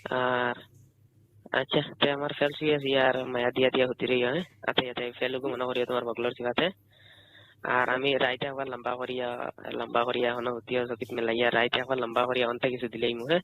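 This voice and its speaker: Sylheti guy on a phone call, talks fast, pushy with questions, bit noisy muffled line. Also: medium pitch, completely flat delivery. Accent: Sylheti Bengali. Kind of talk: casual private phone call.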